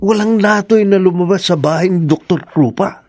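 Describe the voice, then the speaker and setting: A man playing a suspicious, watchful village husband in a radio drama, speaking in a deliberate, brooding way. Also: high voice, darkish tone, dry recording. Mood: grave, suspicious.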